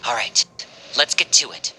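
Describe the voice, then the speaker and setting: A man playing a composed, loyal young team leader in a video game, measured, articulate and reassuring. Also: noisy background, natural voice. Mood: decisive.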